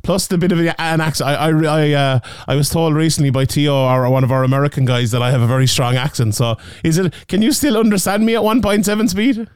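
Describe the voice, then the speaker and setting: A man from Ireland with a Limerick accent, hosting a casual podcast chat, talking fast with stumbling restarts. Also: on the high side, clean tone, dry recording, clean studio sound. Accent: Limerick Irish. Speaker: podcast host.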